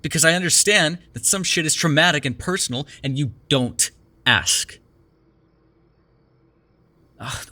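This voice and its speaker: Male actor as sardonic male vampire, prickly yet caring, teasing, ASMR roleplay, bright voice, animated intonation. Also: mid-range voice, exaggerated voice. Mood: exasperated, defensive. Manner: teasing, sarcastic, breaking into exasperation and soothing murmurs.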